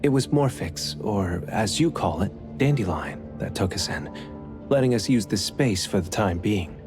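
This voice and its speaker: An actor playing a calm, courteous, enigmatic man in an anime-style game, speaking in a measured, polite way. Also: on the low side, music in the background, natural voice. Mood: calm, explanatory.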